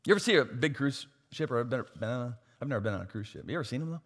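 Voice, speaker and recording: A pastor preaching a sermon, losing his voice and swinging from soft asides to loud, emphatic points. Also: mid-range voice, lively intonation, rapid-fire, husky. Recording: long hall reverb.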